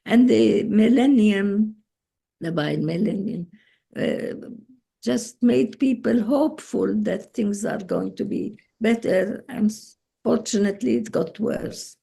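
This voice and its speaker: Brief: woman, 80s, Arabic-accented, unhurried storyteller, gravelly husky voice, video call. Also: medium pitch, warm tone. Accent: Palestinian Arabic. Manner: slow, halting, with frequent pauses and restarts. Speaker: guest speaker. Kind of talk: relaxed personal storytelling.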